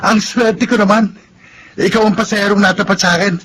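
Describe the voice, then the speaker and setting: An actor with a Filipino accent playing an eager, cheeky, lovestruck tricycle driver in a radio drama. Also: male voice, high voice, fairly even intonation, fairly quick, music in the background, close to an everyday voice. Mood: flirtatious, eager. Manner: exclamatory, insistent.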